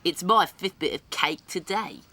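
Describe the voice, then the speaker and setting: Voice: medium pitch, unhurried, pushed and bright. An actor playing a greedy, cruel, boastful young boy in a dramatized audiobook.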